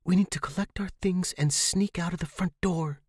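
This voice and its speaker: Guy playing a sensible young woman in a spooky story, high voice, earnest, takes charge. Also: normal speed, a little mellow. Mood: urgent, decisive. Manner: earnest, instructing. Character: level-headed, decisive young woman friend, urging escape.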